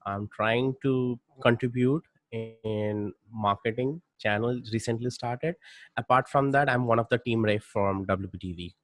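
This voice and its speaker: A man's voice: medium pitch, measured pace, dry recording. A man introducing himself on a video call in a matter-of-fact way after a hesitant start. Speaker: digital marketer, community contributor.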